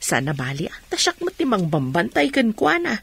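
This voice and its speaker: An actress playing a caring, practical middle-aged mother in an Ilocano radio drama, with a husky voice. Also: medium pitch, wildly swooping pitch, normal speed, fairly bright tone, full character voice.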